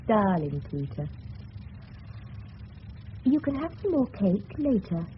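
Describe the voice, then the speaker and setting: A woman's voice: medium pitch, sing-song, takes it slowly. An actress playing an affectionate, seductive married English woman in a 1970s radio drama, intimate and emotional. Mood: tender, coy. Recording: muffled, noisy old radio broadcast.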